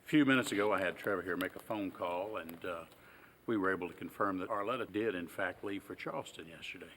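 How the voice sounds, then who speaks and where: A man playing a patient, shrewd small-town sheriff in a film, halting and folksy in a deadpan, polite way. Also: low-pitched, slight background noise. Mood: dry, methodical. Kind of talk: naturalistic film acting.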